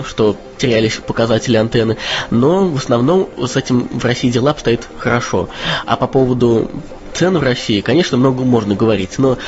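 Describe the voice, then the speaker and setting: A man whose voice is slightly off from a cold co-hosts a casual podcast, pausing often on uh and um. His voice sits at a medium pitch, and the audio sounds dull and lo-fi. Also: a little mellow. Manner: hesitant, with frequent uh and um. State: voice a bit off from a cold. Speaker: podcast co-host. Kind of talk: casual news podcast chat.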